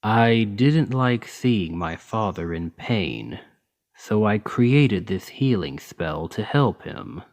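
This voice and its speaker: Huge, kindly male orc healer played by a man for ASMR roleplay: low-pitched, unhurried, patient and soothing. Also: lively intonation. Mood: soft, earnest. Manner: slow, gentle, reassuring, deliberate. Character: towering seven-foot male orc healer, gentle and patient. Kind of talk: ASMR roleplay spoken to the listener.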